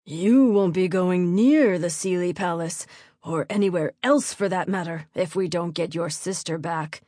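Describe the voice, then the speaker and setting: A woman voicing a stern, angry middle-aged baron with a gruff, commanding manner in an audiobook. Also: lowish voice, a little slow, mellow, dry recording, studio quality. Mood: furious.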